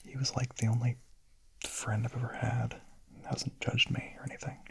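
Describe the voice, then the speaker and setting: A man playing a shy, gentle young vampire in a whispered ASMR roleplay, murmuring softly, hesitantly and intimately in a breathy voice. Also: mid-range voice, level tone, normal speed, poor audio. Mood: quiet confessing. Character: shy, gentle young male vampire, smitten misfit confessing.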